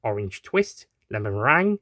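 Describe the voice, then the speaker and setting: A man's voice: lowish voice, big pitch swings, normal speed, bright, forceful tone, no room sound, studio quality. A man reading a scripted audio story as its narrator. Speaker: writer and narrator.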